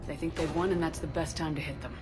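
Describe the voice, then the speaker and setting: An actress playing a resolute, steadfast military commander in a video game, speaking firmly and directly. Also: female voice, low-pitched, steady tone, fast talker, lightly acted. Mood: firm, challenging. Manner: firm, direct, rallying. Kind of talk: video game dialogue acting. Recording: music playing behind the voice.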